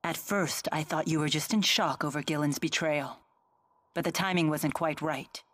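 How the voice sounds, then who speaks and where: A woman's voice: low voice, normal speed, fairly bright tone, no echo. An actress playing a composed, resolute young woman in a video game, blunt, formal and matter-of-fact. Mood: blunt, calm. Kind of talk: English game voice acting.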